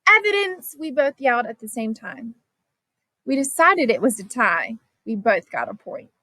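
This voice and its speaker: A woman reading a children's science story aloud as scripted narration, expressive and clearly phrased. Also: a little high, moderate pace, no echo, rough recording. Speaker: storybook reader.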